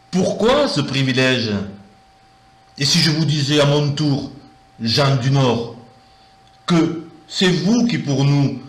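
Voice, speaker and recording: A man recites verse in a declamatory, expressive manner, taking his time with each line. His voice sits at a medium pitch, and the recording carries a noticeable room echo. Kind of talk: poetry recitation. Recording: roomy echo.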